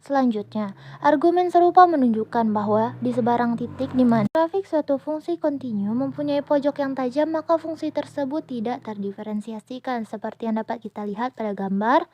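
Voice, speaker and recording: A young woman, a university student, presenting a calculus lesson by reading each formula aloud in a steady, step-by-step way. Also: high-pitched, a little fast, slight background noise. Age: young adult. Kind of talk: reading a math explanation.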